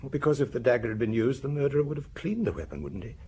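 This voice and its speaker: An actor playing an anxious, faltering, self-justifying murder suspect in a radio drama. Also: male voice, mid-range voice, expressive, very fast, warm tone, slightly rough. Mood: anxious, defensive. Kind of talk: British radio drama acting. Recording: noisy radio drama recording.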